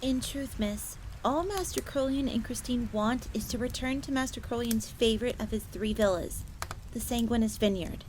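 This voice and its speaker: Woman doing a young fox maid, highish voice, earnest n secretive, audio roleplay, bit of fire crackle in the background. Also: moderate pace, darkish tone, close to an everyday voice. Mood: confiding. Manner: speaks of herself in third person, confiding. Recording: crackling fire noise behind voice.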